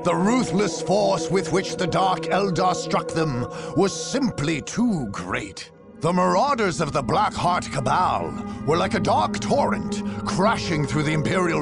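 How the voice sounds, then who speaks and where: An actor voicing a grave, ominous chronicler of war in dramatic scripted game narration, with a gravelly, husky voice and deliberate delivery. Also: male voice, on the high side, exaggerated voice. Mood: grim relish.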